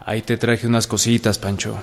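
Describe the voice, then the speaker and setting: An actor playing a reassuring gentleman politician in a historical radio drama, speaking in a measured, soothing way. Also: on the low side, little pitch movement, noisy background, natural voice. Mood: reassuring, regretful. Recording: long hall reverb, music underneath.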